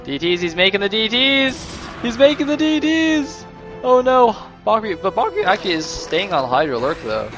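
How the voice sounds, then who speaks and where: Young male caster, hoarse and slightly sick, chatty solo commentary with music underneath. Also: high-pitched, normal speed. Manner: chatty, rambling asides, excitable bursts. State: losing his voice, a little sick. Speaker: esports caster.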